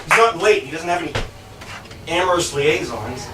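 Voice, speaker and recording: Distant and echoey, with faint noise, an actor plays a stubborn, fretting man in a stage comedy. His pitch moves freely as he insists and contradicts in quick back-and-forth dialogue. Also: expressive, lightly acted. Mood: stubborn, contrary. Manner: contrary, insistent, literal-minded. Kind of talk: live stage play, quick comic banter. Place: small bar performance room.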